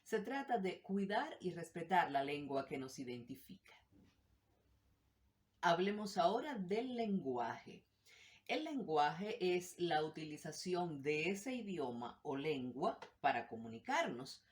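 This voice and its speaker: Low-voiced woman teaching a lesson. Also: very animated, takes it slowly. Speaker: language teacher. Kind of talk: explanatory lesson.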